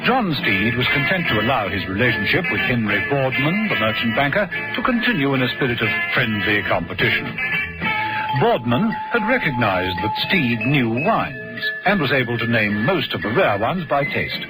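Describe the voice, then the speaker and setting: A male narrator tells a radio drama serial with measured delivery. His mid-pitched voice moves expressively, and music plays loudly behind him. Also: sing-song, rough recording.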